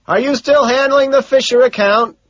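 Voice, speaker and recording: A man voicing a young Wall Street banker in an audiobook, shouting loudly and with strain over concert noise. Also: extremely high pitch, normal speed, no echo, lo-fi sound, voice pushed up. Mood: shouting, curious.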